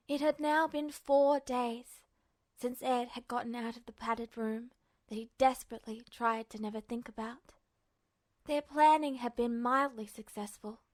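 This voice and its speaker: A woman reading a story aloud as a scripted audiobook-style narration. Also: fairly high pitch. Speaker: fan fiction podfic narrator.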